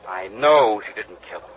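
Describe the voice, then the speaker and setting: A flustered, defensive fiancé. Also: male voice, fairly low pitch, a little hiss or hum. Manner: flustered, stammering, insistent. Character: worried young man, defensive fiancé. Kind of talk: 1950s radio drama acting. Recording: muffled old radio broadcast.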